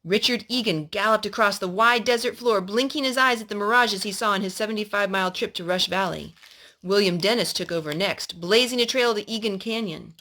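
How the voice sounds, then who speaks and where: Lady reading a kids history story out loud, mid-range voice, storyteller style. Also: medium pitch, a little fast. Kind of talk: read-aloud children's historical story.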